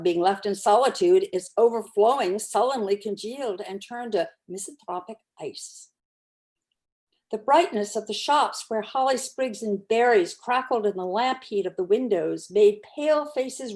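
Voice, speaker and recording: A woman narrator giving a dramatic storybook reading with expressive, deliberate phrasing. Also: a little high.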